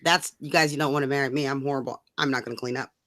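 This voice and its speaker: Bright-voiced, blunt American woman chatting casually. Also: very deep voice, fairly even intonation, rapid-fire, dry recording, studio quality. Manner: blunt, profane, slangy. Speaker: podcast co-host. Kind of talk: casual political chat.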